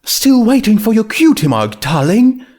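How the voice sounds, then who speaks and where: A man's voice: high-pitched, big pitch swings, measured pace, a little mellow, voice pushed up, heavily acted. A man voicing a refined, motherly female pony dressmaker with a genteel, fussing, affectionate manner in a story reading. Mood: doting.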